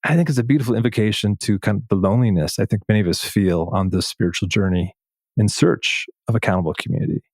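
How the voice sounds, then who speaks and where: A man interviewing in a reflective, measured way, halting and pausing between short phrases. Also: on the low side, darkish tone, no echo, clean studio sound. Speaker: podcast host, interviewer. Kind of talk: reflective interview conversation, some reading aloud.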